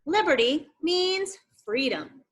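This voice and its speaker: Woman acting a storybook lady teacher, high-pitched, emphatic, instructive, very varied intonation. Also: very animated, slow, heavily acted. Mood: announcing. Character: female schoolteacher posing riddles to her class.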